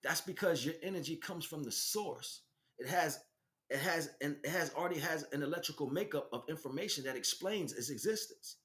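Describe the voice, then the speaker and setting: A man's voice: a little high, fairly quick, a bit bright. Man preaching an unscripted spiritual monologue. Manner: emphatic, repeats phrases for stress. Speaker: spiritual teacher, livestream host.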